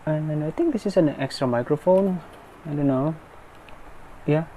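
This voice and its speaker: A man's voice: on the high side, moderate pace, warm tone. A man giving casual, unscripted unboxing commentary, thinking aloud in a tentative way. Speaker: unboxing video maker. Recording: clearly noisy background.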